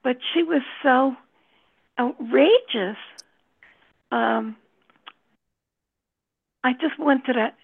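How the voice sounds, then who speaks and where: A woman in a book discussion group speaks slowly and hesitantly, trailing off between thoughts. Her voice is gravelly and a touch husky, and it sits a little high. She comes through a thin, phone-like line. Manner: slow, hesitant, many pauses and fillers. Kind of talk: casual discussion.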